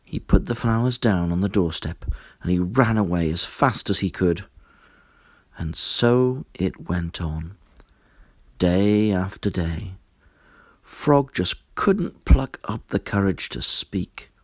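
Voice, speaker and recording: A man narrating a children's story in an expressive, deliberate storytelling manner. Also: deep voice, dry recording, studio quality. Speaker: storybook reader.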